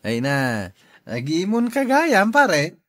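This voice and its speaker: An actor playing a teasing, gossipy friend in a Filipino radio drama, with a Filipino accent. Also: male voice, high-pitched, huge pitch swings, extremely slow, a bit bright, dry recording, studio quality, heavily acted. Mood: teasing, amused. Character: teasing, gossipy male buddy.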